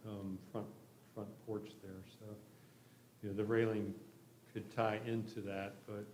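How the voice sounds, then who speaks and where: A man speaks in a low, fairly even voice, explaining plans informally and tentatively. The sound is roomy and echoey, with faint noise behind him. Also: a little slow. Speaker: project applicant presenting a development plan. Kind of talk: informal explanation at a public meeting.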